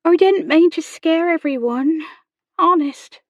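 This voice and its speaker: A woman's voice: very high-pitched, slow, dry recording, highs cut off, voice pushed up. A woman voicing a timid, uneducated servant girl in an audiobook, with rustic, hesitant speech. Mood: tearful, apologetic. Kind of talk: audiobook character dialogue.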